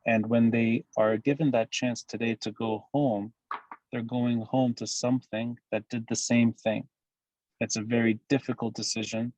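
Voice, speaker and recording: A man from Lebanon speaking as a guest on a podcast interview, making emphatic, measured points. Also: mid-range voice, darkish tone, dry recording, poor audio. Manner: measured, emphatic analytical speech. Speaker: guest commentator.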